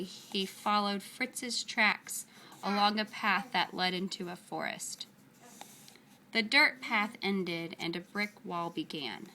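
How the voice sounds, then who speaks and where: Bright-voiced woman reading a children's story. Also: mid-range voice, a little hiss or hum, poor audio. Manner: deliberate, dramatic phrasing with pauses. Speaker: storyteller reading a picture book aloud. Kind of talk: expressive read-aloud narration of children's story.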